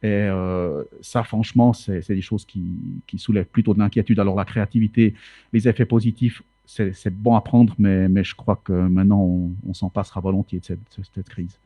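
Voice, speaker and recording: A middle-aged man, bilingual with French as his mother tongue, speaking in a halting, measured way in a panel discussion. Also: lowish voice, level tone, no room sound. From canton of Fribourg, Switzerland. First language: French, grew up bilingual with German. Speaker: city politician on a panel. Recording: slightly dull, dry sound.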